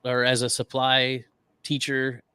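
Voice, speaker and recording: A man with a bright, crisp voice at a middle pitch, co-hosting a podcast. He narrates in a casual, chatty storytelling style, recorded dry. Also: completely flat delivery, a little slow, no echo. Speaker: podcast co-host.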